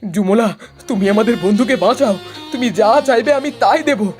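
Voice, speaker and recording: A man playing an excitable, chatty young traveller in a horror cartoon. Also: sits high, moderate pace, soft background music, close to an everyday voice. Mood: earnest.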